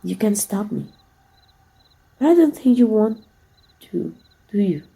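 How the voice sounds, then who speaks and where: A woman's voice: mid-range voice, sing-song, unhurried, vocal fry, dark tone, rough recording. A woman playing a flirtatious, confident villain who is secretly fond of her rival, in an intimate, teasing ASMR roleplay. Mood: confident, possessive. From Mexico. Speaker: amateur voice actor.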